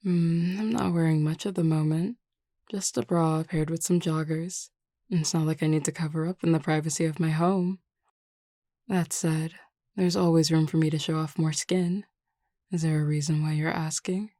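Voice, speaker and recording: A woman playing a flirty, teasing, self-assured werewolf pack beta in an audio roleplay, talking in a casually confident, unhurried way. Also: low-pitched, steady tone, mellow, no room sound, clean studio sound. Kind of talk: scripted audio roleplay acting.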